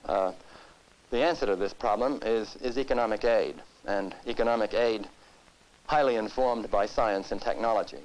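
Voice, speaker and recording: A man from the United States giving serious interview commentary, speaking deliberately in formal, rhetorical phrases. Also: fairly low pitch, darkish tone, rough recording. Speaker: expert interviewee.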